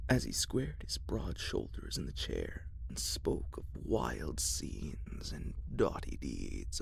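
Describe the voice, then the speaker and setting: A man narrating a classic horror story in a soft ASMR whisper. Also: low-pitched, vocal fry. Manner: whispered, slow and hushed.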